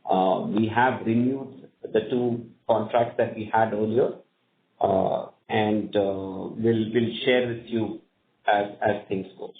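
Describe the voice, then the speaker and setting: A man with an Indian accent speaking as a company executive, answering questions on an earnings call in measured, hesitant phrasing. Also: lowish voice, fairly even intonation, gravelly. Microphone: conference call line. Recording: tinny phone line, echoey room, faint noise.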